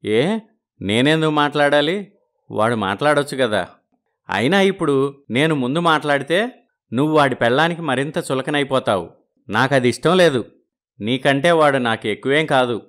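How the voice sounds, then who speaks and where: A man voicing a proud but soft-hearted village brother and husband in an animated moral story. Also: mid-range voice, moderate pace, pauses often, close to an everyday voice. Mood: stubborn.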